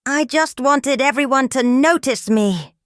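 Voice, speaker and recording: A woman's voice: high-pitched, measured pace, fairly bright tone, no room sound, studio quality, voice pushed up. A woman voicing a sulky, jealous young hen in a children's storybook, grumbling and petulant. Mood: upset. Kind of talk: storybook character reading.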